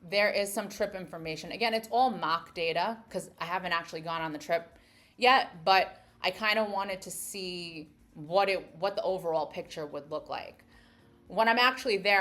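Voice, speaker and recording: A woman with an American accent talks through a demo in a casual, explanatory way. Her voice sits a little lower than most women's. She presents as a content creator, regularly checking that the listener follows. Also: fairly bright tone. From United States. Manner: explanatory, often checking in with "right?". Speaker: travel content creator and host. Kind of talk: casual screen-share app walkthrough.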